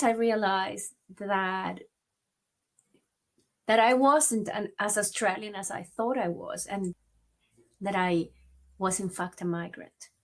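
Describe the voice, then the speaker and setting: A woman from Venezuela with a Venezuelan Spanish accent, a native Spanish speaker, telling her personal story as an interview guest in a reflective way. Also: medium pitch. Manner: reflective, many "you know" fillers.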